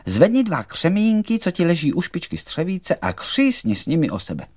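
A man voicing a small, merry, excitable male firefly light in a storybook, chatty and exclamatory. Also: fairly high pitch, no room sound, studio quality, voice pushed up. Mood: eager.

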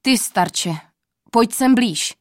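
A woman voicing a proud, stern male king who is quick to anger, in a storybook reading with a dry, close sound. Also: medium pitch, no echo, natural voice. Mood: angry. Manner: commanding, loud outbursts.

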